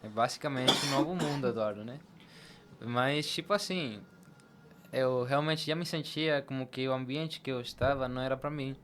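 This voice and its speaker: A man's voice: mid-range voice, normal speed, background noise. A young man from Latin America, telling his personal story conversationally as a podcast guest.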